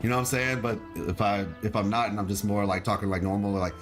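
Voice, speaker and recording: A man's voice: fairly low pitch, flat delivery, fast, soft background music. A man chatting casually on a game stream, rambling with lots of fillers in a laid-back way. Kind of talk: casual live-stream chat.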